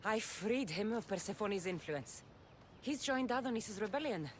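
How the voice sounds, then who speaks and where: A woman's voice: medium pitch, lively intonation, moderate pace, slight background noise, lightly acted. A woman playing a confident, blunt warrior heroine in a video game, direct and matter-of-fact. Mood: assured, helpful. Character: confident, blunt female warrior hero, questioning and helpful. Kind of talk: video game cutscene acting.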